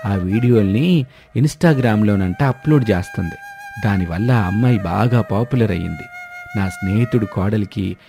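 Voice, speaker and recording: A man's voice: fairly low pitch, unhurried, gravelly, over music. A man voicing a kindly, plain-spoken old village father-in-law in a cartoon moral story. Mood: affectionate.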